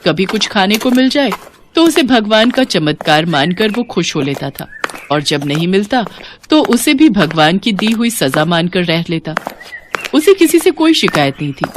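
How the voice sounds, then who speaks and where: उतार-चढ़ाव से भरी महिला कथावाचक. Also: female voice, mid-range voice, moderate pace, noisy background. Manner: expressive storytelling. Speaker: narrator. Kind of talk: scripted children's story narration.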